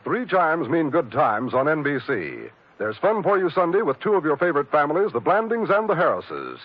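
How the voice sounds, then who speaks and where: A man's voice: on the high side, huge pitch swings, moderate pace, faint music behind. An American man announcing in scripted 1950s network radio style. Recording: dull old radio broadcast recording.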